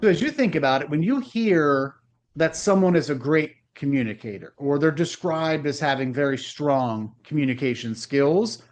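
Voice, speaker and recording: Upbeat podcast host man, higher voice. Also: lively intonation, normal speed. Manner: polished, upbeat presenter. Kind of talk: podcast host intro and interview.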